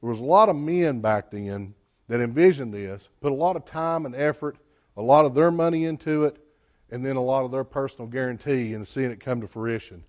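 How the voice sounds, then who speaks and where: A man, a care home's executive director, giving a plainspoken presentation to a church with many fillers and a loud voice. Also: mid-range voice, a little fast. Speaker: executive director of a care home, guest speaker.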